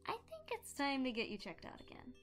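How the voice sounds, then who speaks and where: A woman's voice: high voice, huge pitch swings, fairly quick, music in the background. A woman voicing a haughty, whiny teenage cartoon unicorn princess with a dramatic, bossy delivery. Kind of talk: fan cartoon voice acting.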